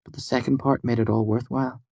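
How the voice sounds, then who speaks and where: A man's voice: mid-range voice, fast, gravelly, no echo, studio quality, voice pushed up. A man voicing a playful, teasing young woman. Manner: lively, teasing.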